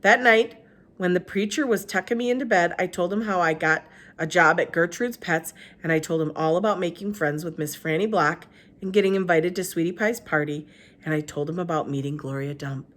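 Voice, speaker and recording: A woman, a teacher, reading a children's novel aloud with expressive narration. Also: fairly quick. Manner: expressive, lively pitch. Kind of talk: storybook read-aloud narration.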